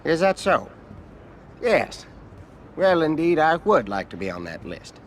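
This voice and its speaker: An actor playing an arrogant, greedy middle-aged American senator in a video game, with a folksy, condescending manner. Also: male voice, a little high, very animated, measured pace, slight background noise. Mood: pleased, greedy. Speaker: senator character in a crime game. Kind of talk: dramatic video game cutscene acting.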